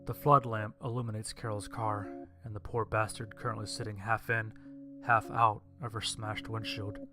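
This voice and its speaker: A man's voice: lowish voice, little pitch movement, normal speed. A man reading a scripted story as its narrator. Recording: music behind the voice. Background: music.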